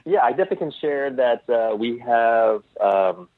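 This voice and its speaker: A man speaking as a guest in a casual interview, in a halting, tentative way with trailing sentences and restarts, over a call. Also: mid-range voice, lively intonation, dry recording. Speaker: interview guest, clothing designer. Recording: tinny phone-like line.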